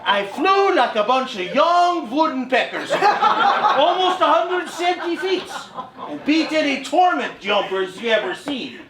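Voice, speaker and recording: A man's voice: very high-pitched, big pitch swings, unhurried, a crowd in the background, heavily acted. A man playing an old Norwegian immigrant farmer with a Norwegian-American accent, telling a tall tale as stage comedy. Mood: boastful. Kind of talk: live vaudeville stage comedy. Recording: echoey hall, live audience laughing.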